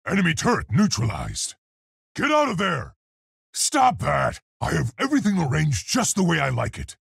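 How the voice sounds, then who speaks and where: A man voicing a large, earnest, polite and brave gorilla scientist for a video game, gruff and articulate in his delivery. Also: a little high, huge pitch swings, moderate pace, a bit bright, dry recording, clean studio sound, exaggerated voice. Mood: agitated, shouting. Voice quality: gruff, husky, gravelly. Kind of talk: video game character voice lines.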